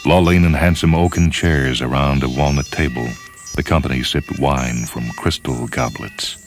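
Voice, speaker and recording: Male narrator, profoundly low voice, grave and measured storytelling over a music bed. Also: steady tone. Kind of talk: dramatic scripted story narration.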